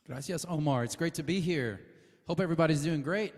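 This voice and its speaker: A man's voice: fairly high pitch, a little fast, in a large hall. A man from Texas, a musician, talking casually and telling stories before he plays. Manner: friendly, rambling storytelling with fillers. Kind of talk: casual stage talk before a performance.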